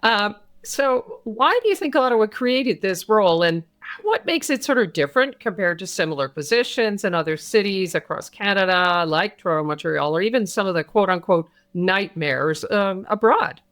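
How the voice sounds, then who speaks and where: A woman's voice: mid-range voice, lively intonation, normal speed, a bit bright. Woman interviewer asking questions. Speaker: interviewer, host.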